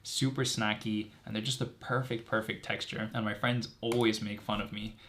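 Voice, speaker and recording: A man's voice: on the low side, steady tone, moderate pace, a bit bright. A man in his twenties from Greece chatting casually and earnestly as a student vlogger, repeating words for emphasis. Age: 20s. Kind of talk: casual direct-to-camera chat, answering assumptions.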